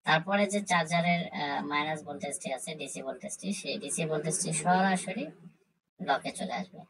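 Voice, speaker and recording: A woman giving an informal technical tutorial, explaining step by step in a halting, repetitive way. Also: sits low, slightly rough, low-quality recording. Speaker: tutorial host.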